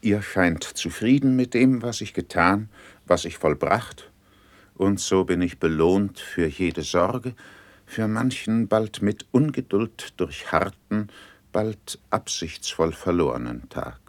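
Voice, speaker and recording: An actor playing a shrewd courtly diplomat in a classical verse radio play, formal and deferential in manner. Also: male voice, low-pitched, lively intonation, slow, dry recording. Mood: gratified, deferential. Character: shrewd, courtly male diplomat serving a prince.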